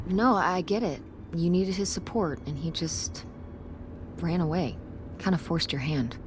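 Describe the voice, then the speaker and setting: An actress playing an earnest teenage daughter who designs websites, speaking in a casual, sincere, slangy way in naturalistic game dialogue. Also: female voice, fairly low pitch, unhurried, noisy background, close to an everyday voice. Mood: regretful.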